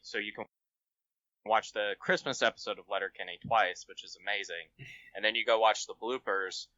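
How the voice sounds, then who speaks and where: Male podcast guest, casual chat, lowish and sharp-edged, dry recording. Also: moderate pace, no room sound, lo-fi sound.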